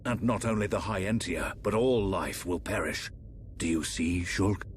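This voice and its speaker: Man playing a deep-voiced, solemn fantasy emperor. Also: a little hiss or hum, natural voice. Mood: grave. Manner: formal, measured, grave. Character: solemn aging emperor, stately fantasy ruler and father. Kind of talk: dramatic video game voice acting.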